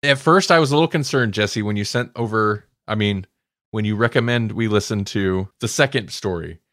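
A man with a fairly low voice, recorded close and dry. He speaks as a podcast co-host in casual discussion, thinking aloud as he recaps and reviews stories. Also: moderate pace, a bit bright, no echo. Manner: informal, conversational, thinking aloud.

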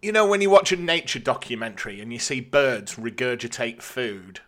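A man's voice: mid-range voice, animated, normal speed, pushed and bright. A man with a British accent, talking casually as a podcast co-host. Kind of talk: casual chat.

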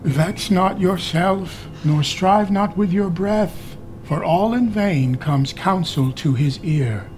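A man playing a world-weary old duke with a gravelly voice, bitterly cautioning in verse in a Shakespearean radio drama. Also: high-pitched, takes it slowly, hardly any pauses, a little mellow, slight background noise.

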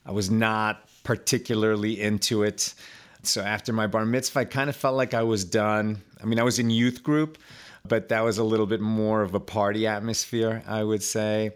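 A man's voice: lowish voice, fairly even intonation, moderate pace, smooth. A man in his fifties from Chile, a podcast guest telling his life story in a loose, conversational way, full of fillers and repeated words. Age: 50s.

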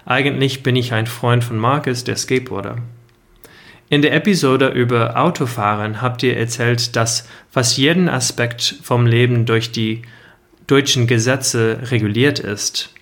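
A man's voice: mid-range voice, unhurried, fairly bright tone. A man from the United States, a native English speaker, carefully reading out a listener question he recorded in German. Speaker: listener sending a voice message.

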